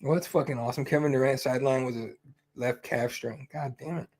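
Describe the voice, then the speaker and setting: A man's voice: medium pitch, steady tone, a little fast, a little mellow. A young man hosting a fight-night livestream, chatting casually with lots of fillers and swearing. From Mexican heritage. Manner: rambling, profane, easygoing, many fillers. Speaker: host of a fight companion stream.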